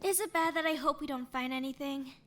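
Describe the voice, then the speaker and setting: A very high, bright young female investigator acted by a woman in an animated murder mystery. She is earnest, anxious and expressive, and there is noticeable noise behind the voice. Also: fast talker. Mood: reluctant resolve.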